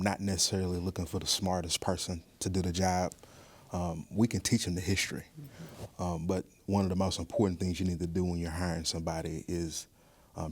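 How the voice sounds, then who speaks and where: A man speaks in a low register with very little rise and fall. He answers interview questions in a measured, earnest way, thinking as he goes. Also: a little mellow. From Mississippi, United States. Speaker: museum director, interview guest.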